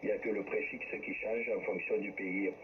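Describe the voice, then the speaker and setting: A man's voice: fairly low pitch, fairly even intonation, moderate pace, narrow, tinny audio. A man chatting casually as he runs an amateur radio group contact over a radio transmission. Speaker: amateur radio operator passing turns in a group chat. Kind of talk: casual ham radio chat. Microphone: radio transceiver microphone.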